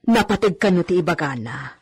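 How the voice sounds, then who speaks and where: Humble, hesitant woman for radio drama, played by an actress: husky, deferential delivery. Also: lowish voice, animated, a little fast, exaggerated voice. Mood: casual, chatty. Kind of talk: radio drama acting in dialogue.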